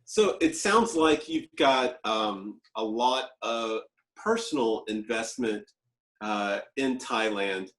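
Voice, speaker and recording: A man hosting an interview, asking questions in an unhurried, hesitant way with fillers. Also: mid-range voice, animated, a bit bright. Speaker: host, interviewer. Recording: echoey room.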